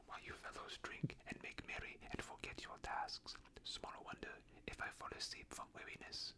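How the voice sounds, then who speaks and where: A reader voicing a grumbling, sleepy old elf butler in a soft whisper. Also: normal speed, close to an everyday voice. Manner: grumbling, growling. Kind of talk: whispered ASMR storytelling.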